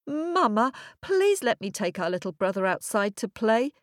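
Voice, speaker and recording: Lady doing a little girl in a fairy tale, high and childlike, earnest, kinda pleading. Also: big pitch swings, moderate pace, dry recording, studio quality. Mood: eager, promising. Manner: eager, childlike.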